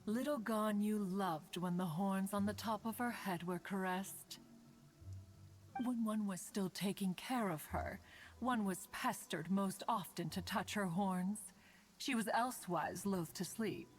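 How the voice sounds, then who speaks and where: A woman's voice: mid-range voice, a little slow, close to an everyday voice. A woman voicing an ancient, dignified, motherly female immortal in a video game, with stately, archaic formal speech. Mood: fond reminiscing. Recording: soft game music behind the voice.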